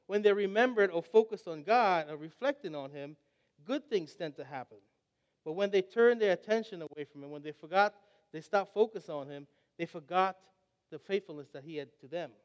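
Man, high voice, sermon, earnest and reflective, long hall reverb. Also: fairly quick, highs cut off. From Trinidad and Tobago. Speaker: preacher.

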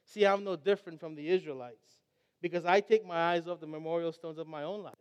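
Man, high voice, sermon, earnest and reflective, long hall reverb. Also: brisk, a little mellow, clean tone, dull audio. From Trinidad and Tobago. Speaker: preacher.

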